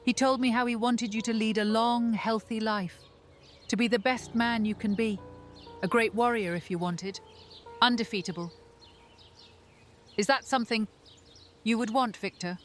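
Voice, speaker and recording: A woman playing an enigmatic vampire, a motherly figure who speaks slowly and deliberately, soothing and persuasive, in a fantasy horror audio drama. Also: fairly high pitch, steady tone, faint music behind, lightly acted. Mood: tender. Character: enigmatic, coaxing female vampire, motherly mentor to an orphan.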